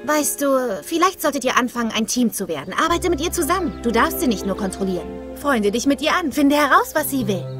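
A woman voicing a caring young big sister, earnest and encouraging, in a German animated film dub. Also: high-pitched, fairly quick, few pauses, music in the background. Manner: earnest, instructive.

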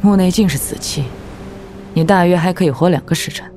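An imperious wuxia swordswoman played by a woman, cold and aloof. Her voice is lowish for a woman and dark in tone, with music behind it. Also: a little fast, natural voice. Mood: disdainful. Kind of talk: Chinese audio drama acting.

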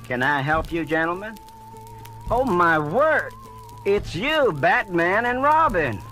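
Elderly curator, genteel and fretful, high-pitched with lively swings, theatrical radio drama. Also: male voice, slow pace, heavily acted. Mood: courteous, flustered. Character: fussy elderly male museum curator, courteous and flustered. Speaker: voice actor. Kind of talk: radio-drama acting on a children's story record. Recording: vintage story record with dramatic music.

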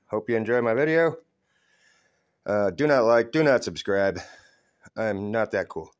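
A middle-aged man giving a casual, folksy, self-deprecating demonstration of his homemade build in short, halting phrases, recorded on a handheld phone in a garage. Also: fairly low pitch. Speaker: hobbyist DIY builder. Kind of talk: casual show-and-tell demonstration. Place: cluttered garage with open door. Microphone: handheld phone held by the speaker.

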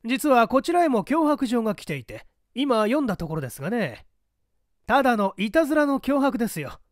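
A man voicing a pompous, brisk older government chief and bluff father with old-fashioned gentlemanly speech in an audiobook story. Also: very high-pitched, big pitch swings, dry recording, clean studio sound, voice pushed up. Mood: scoffing, incredulous.

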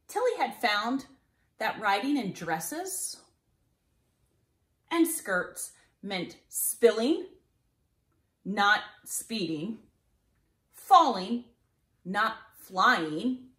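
A middle-aged woman reading a picture book aloud to children in an expressive, deliberate storytelling way. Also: medium pitch, roomy sound. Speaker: children's read-aloud presenter.

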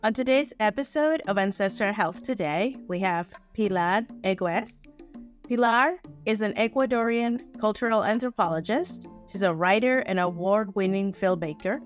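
A woman podcast host reading a guest introduction in a steady, even manner over a video call. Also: mid-range voice, moderate pace, faint music behind. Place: small home room. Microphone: headset on video call.

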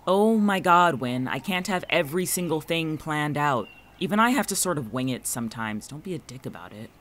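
A man's voice: a little high, lively intonation, a little fast, a bit bright, slight background noise. An actor playing a guarded, sarcastic male college student in a fiction podcast, with a dry, curt manner. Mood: irritated.

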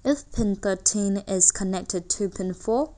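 A woman's voice: mid-range voice, a little slow, mellow. A woman reading a scripted technical tutorial carefully, word by word. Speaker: tutorial narrator.

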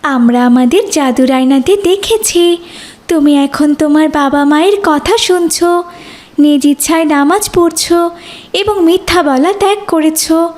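Casting: female actor as gracious, kindly fairy for a kids' cartoon, extremely high voice, unhurried formal delivery. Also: a little mellow. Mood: approving, proud. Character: kindly, welcoming female fairy of a magic realm. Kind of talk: children's cartoon voice acting.

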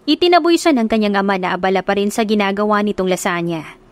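A woman's voice: medium pitch, quick pace. Tagalog woman narrating a love story. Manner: steady storytelling, switching voices for characters. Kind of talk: scripted audiobook narration.

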